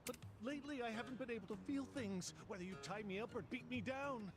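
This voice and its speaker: High-pitched, theatrical pleading masochist. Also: male voice, quick pace. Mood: courteous, confessing. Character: eager masochistic man, melodramatically polite and lovestruck. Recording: game audio with clear music behind.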